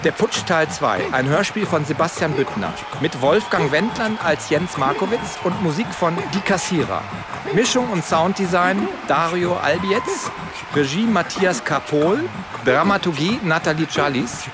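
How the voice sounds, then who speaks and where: High, slow male announcer over loud music. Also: lively intonation. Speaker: credits announcer. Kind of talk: scripted radio play credits.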